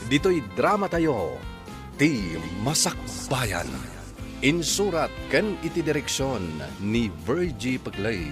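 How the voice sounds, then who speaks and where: A man's voice: medium pitch, very animated, slow pace, music in the background. A man announcing a radio drama in a scripted, formal broadcast style.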